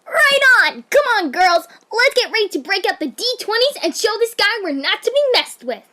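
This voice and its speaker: A woman voicing a brash, excitable young pony sidekick in a fan fiction audio drama, boastful and eager. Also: very high voice, normal speed, slight background noise, exaggerated voice. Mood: excited, cocky.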